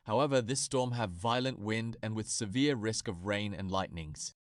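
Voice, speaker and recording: A man's voice: on the low side, moderate pace, dry recording, studio quality. A man reading a scripted emergency weather warning in a formal announcer manner. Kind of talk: scripted emergency alert narration.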